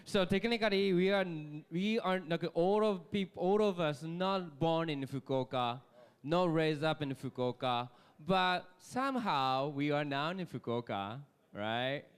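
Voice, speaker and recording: A man's voice: on the high side, a little slow, clean tone. A man moderating a live panel on a hotel event stage, loose and upbeat, full of "like" and "you know". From Japan. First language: Japanese.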